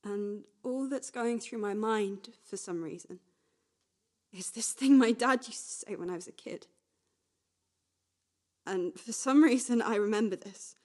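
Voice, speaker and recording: Actress doing reflective woman in a radio play, unhurried, plain and a bit defiant. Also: mid-range voice, a little mellow, natural voice. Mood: wistful, bitter. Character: defiant, reflective woman remembering a night walk.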